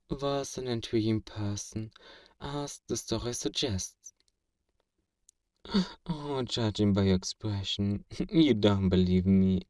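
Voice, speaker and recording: Man playing a slow-spoken, teasing fantasy villain. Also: lowish voice, dark tone, no echo, clean studio sound, far from the mic, lightly acted. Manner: theatrical, deliberate, formal, teasing, with breathy whispers. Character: powerful male fantasy villain, teasing, smug, obsessively flirtatious. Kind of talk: ASMR romance roleplay.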